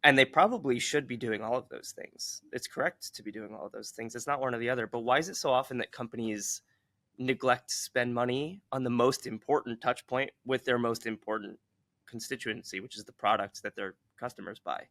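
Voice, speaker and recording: Bright-voiced man interviewing, measured and articulate. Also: mid-range voice, dry recording. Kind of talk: considered, long-form interview questions.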